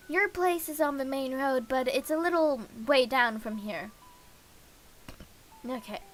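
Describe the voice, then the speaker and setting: Female game streamer, high and bright, reads story text slowly and haltingly, with casual asides. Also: poor audio. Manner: halting reading with slips and self-corrections. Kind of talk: reading a visual novel aloud on stream with casual asides.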